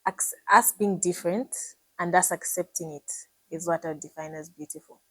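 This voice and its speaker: Zambian woman, 21, med student, low-ish dry voice, composed and articulate. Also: normal speed, dry recording, poor audio. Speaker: pageant contestant and medical student. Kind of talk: prepared self-introduction to camera.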